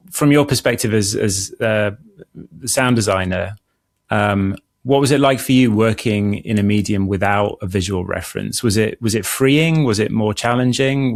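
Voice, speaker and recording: A man with a lowish voice hosts an interview. He puts questions conversationally, often catching on the start of a word. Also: gravelly. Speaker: guest host, interviewer.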